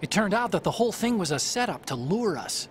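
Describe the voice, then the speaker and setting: An actor playing a brainy tech-support ally in a video game, explaining things earnestly. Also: male voice, high voice, very animated, brisk, slight background noise. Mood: earnest, explanatory. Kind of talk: dramatic video game cutscene acting. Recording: dramatic music clearly behind.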